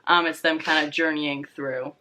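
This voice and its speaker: A woman's voice: deep voice, fairly even intonation, moderate pace, sharp tone, slight background noise. A young woman chatting casually about books as a vlog guest, with informal, tentative phrasing. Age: young adult. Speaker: guest co-host on a book vlog. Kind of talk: casual book chat.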